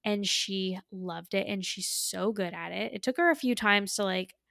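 A woman's voice: medium pitch, moderate pace, vocal fry, fairly bright tone, no room sound, studio quality. A woman podcast host in a casual, chatty catch-up, talking in a gushing, affectionate way.

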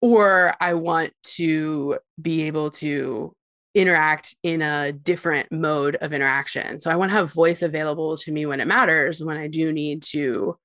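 Low-voiced woman explaining tech ideas. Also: fairly even intonation, normal speed, a bit bright, no room sound, studio quality. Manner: explanatory, with frequent light laughs. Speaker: guest expert on voice interfaces. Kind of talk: conversational interview answers.

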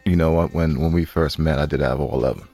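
A man's voice: extremely low pitch, steady tone, fast, warm tone, soft background music. A man co-hosting a podcast, chatting casually with a bantering, mock-exasperated manner. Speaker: podcast co-host.

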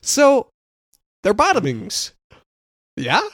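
Young male co-host, lively rambling chatter, dry recording. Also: sits high, very slow, no echo. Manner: animated, rambling, laughs often. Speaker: podcast co-host. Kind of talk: casual chat.

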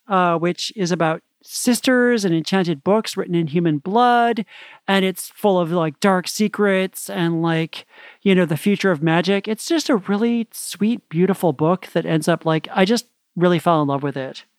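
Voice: mid-range voice, normal speed, no echo, clean studio sound. A podcast co-host chatting casually and enthusiastically about books in loose, conversational phrasing. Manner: enthusiastic, gushing; conversational, peppered with "like". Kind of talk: casual book recommendation chat.

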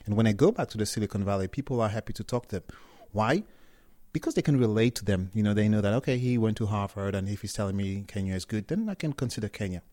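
A man's voice: on the low side, expressive, quick pace, warm tone, no room sound. A man from Cameroon, a startup founder, answering interview questions candidly with hesitant restarts.

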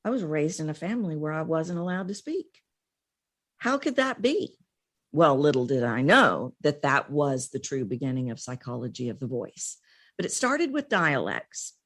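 Low-pitched middle-aged woman. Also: big pitch swings, moderate pace, a bit bright. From Texas, United States. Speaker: interview guest, voice coach. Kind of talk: conversational interview storytelling.

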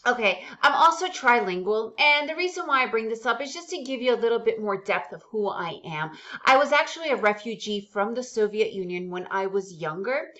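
A woman's voice: fairly high pitch, expressive, fairly quick, few pauses, pushed and bright, lo-fi sound. A middle-aged woman, a native Russian speaker raised in the United States, teaching an online trading course with enthusiastic, direct talk to viewers. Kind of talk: upbeat course presentation.

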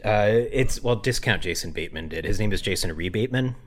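A man's voice: lowish voice, expressive, fast talker, a bit bright. A man co-hosting a podcast in casual comedic chat, joking and riffing on silly made-up bits. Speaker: podcast co-host.

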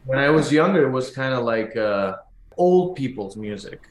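A man's voice: mid-range voice, lively intonation. Argentine man in an echoey room. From Argentina, raised in Buenos Aires. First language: Spanish. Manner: halting, repeats words as they search for phrasing. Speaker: musician guest, pianist and teacher. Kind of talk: conversational interview answers, telling stories.

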